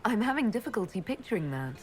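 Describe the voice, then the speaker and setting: An actress playing a composed, guarded young noblewoman who leads an order in a fantasy game, with a measured, dry manner. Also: medium pitch, sing-song, soft background music, lightly acted. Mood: concerned, curious. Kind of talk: fantasy video game dialogue acting.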